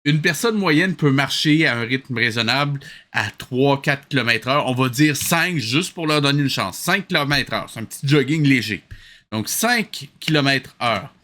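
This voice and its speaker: A man's voice: mid-range voice, animated, moderate pace, sharp tone. A man with a Québécois accent giving comic reaction commentary, incredulous and full of exasperated questions. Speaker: host reviewing a cartoon.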